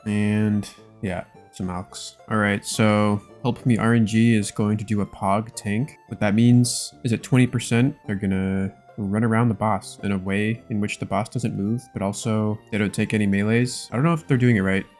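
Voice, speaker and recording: Low-voiced man doing laid-back gaming commentary. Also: steady tone, normal speed, few pauses, a little mellow, soft background music. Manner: laid-back slangy speech full of gamer jargon. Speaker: gaming video creator narrating his own play. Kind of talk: casual gameplay commentary.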